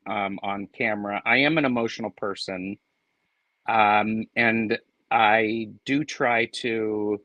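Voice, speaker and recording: A man's voice: lowish voice, steady tone, takes it slowly, no room sound, muffled recording. A man joining a live tarot stream as a guest reader, talking in a hesitant, reflective way with lots of ums. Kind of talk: casual live-stream chat.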